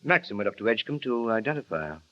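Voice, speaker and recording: An actor playing a reserved, kindly estate manager who answers quietly and reluctantly in a vintage radio drama. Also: male voice, fairly low pitch, very animated, rapid-fire. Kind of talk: 1940s radio acting. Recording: dull vintage radio recording.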